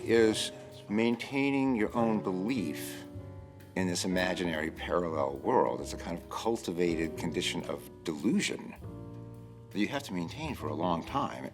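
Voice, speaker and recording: A man speaking as a novelist, reflective and deliberate, pausing and stressing key words. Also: sits low. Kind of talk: reflective interview and reading from own prose.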